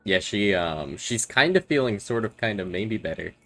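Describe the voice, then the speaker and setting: Deep-voiced guy rambling while gaming, voice bouncing all over, stumbles a lot. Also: animated, moderate pace, a bit bright, faint music behind. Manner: rambling, joking, trips over his words. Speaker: streamer playing with friends. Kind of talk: casual gaming chat.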